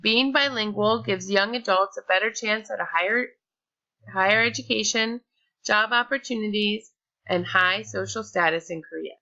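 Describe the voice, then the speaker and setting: Bright-voiced woman reading a presentation. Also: mid-range voice, normal speed, dull audio. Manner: reads from a script with small slips and restarts. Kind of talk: read-aloud slide presentation.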